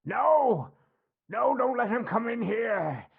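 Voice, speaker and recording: A man playing a frantic, monstrous recluse with a strained, croaky voice in a radio play. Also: very high-pitched, sing-song, slow, narrow, tinny audio, full character voice. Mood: frantic, refusing. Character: frantic monstrous recluse hiding behind a closed door.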